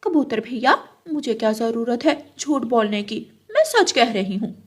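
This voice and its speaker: Cartoon mother crow , female performer: high-ish voice, scheming gossip, bossy and insinuating. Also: expressive, measured pace. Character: spiteful, lying mother crow, gossiping slyly.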